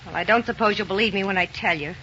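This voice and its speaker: An actress playing a distressed but hard-boiled gangster's wife in a 1940s radio crime drama. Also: female voice, medium pitch, fast talker, bright, forceful tone. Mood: weary insistence. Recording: dull, hissy 1940s radio recording.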